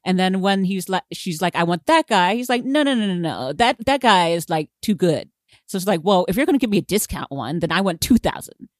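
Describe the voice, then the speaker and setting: Brief: lively woman, lower voice, casual movie podcast talk, dry close mic. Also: brisk, no room sound. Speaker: podcast co-host. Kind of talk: casual film discussion.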